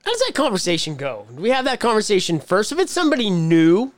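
A man's voice: sits high, wildly swooping pitch, fast, no room sound. A man in his late thirties asking playful, probing questions in casual podcast banter. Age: late 30s.

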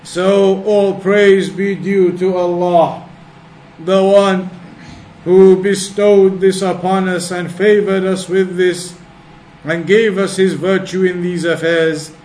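Male religious instructor, high register, reciting and explaining in measured phrases; reverberant room recording with some background noise. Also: level tone, a little mellow. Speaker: religious teacher giving a class. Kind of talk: religious lesson, reciting Arabic then translating and explaining.

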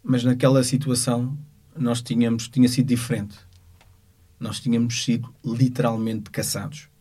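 A man with a European Portuguese accent speaking unscripted as a lawyer, in a measured, halting way as he searches for words. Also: medium pitch, little pitch movement, dark tone, husky. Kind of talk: unscripted spoken account of a court case.

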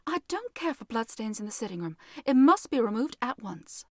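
A well-to-do wife reacts with prim, high-pitched dismay, voiced by a woman reading a storybook. Her voice is lifted and dry, close to the mic. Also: big pitch swings, normal speed, no room sound. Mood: fussy. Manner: prim, exclaiming. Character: well-to-do American wife, fussy and easily shocked.

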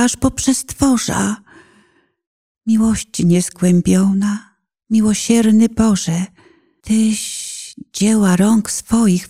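A woman narrator reciting a poetic prayer in a solemn, reverent and dramatic manner, at times dropping into hushed, breathy phrases. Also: mid-range voice.

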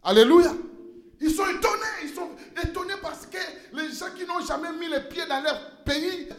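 A male pastor preaching a sermon in a church, impassioned, rising to shouts and stumbling over repeated words. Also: extremely high pitch, expressive, normal speed, echoey room.

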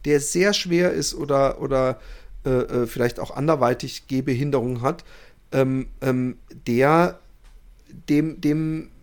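A man's voice: medium pitch, a little slow, clean tone. A man hosting a podcast in casual banter, often searching for words as he thinks aloud. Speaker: podcast host.

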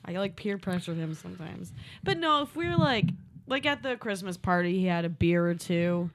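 Podcast guest, relaxed, laughs easily, casual delivery. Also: medium pitch, normal speed. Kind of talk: casual chat.

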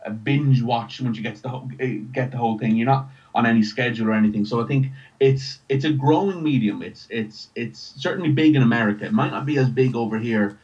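A man's voice: medium pitch, fast talker, few pauses, a little mellow, muffled recording. An Irish man talking casually as an interview guest, hesitant, often repeating words and restarting his phrases. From Ireland. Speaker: writer and podcast creator, interview guest.

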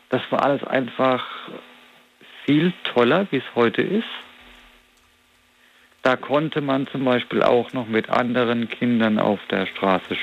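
A forty-year-old man chatting as an interview guest, reflective and meandering, often pausing to find the right word. His voice sits at a middling pitch, captured on a slightly dull, lo-fi recording with faint noise behind him. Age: 40s. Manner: reflective, rambling, searching for words. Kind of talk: casual conversational interview.

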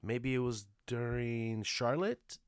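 A man's voice: mid-range voice, slow, clear, resonant tone, no room sound, clean studio sound. A man co-hosting a casual wrestling podcast, chatting in a playful way. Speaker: podcast co-host. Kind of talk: casual chat.

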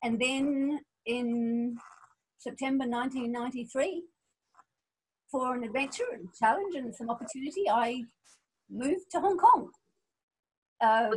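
A woman's voice: fairly high pitch, darkish tone, husky, rough recording. A woman in her forties who was born in Scotland and grew up in Australia, speaking as an interview guest, thoughtful, with frequent ums and restarts. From Scotland, raised in Australia. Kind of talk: casual interview conversation.

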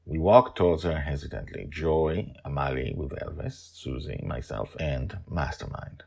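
A man telling a story as podcast host and author, emphatic, with dramatic pauses and informal commentary. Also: very deep voice, lively intonation, vocal fry, husky.

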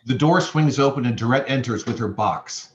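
A man's voice: medium pitch, expressive, normal speed. Dull, lo-fi male voice. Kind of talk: reading stage directions and discussing a play. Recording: dull, lo-fi sound, faint noise.

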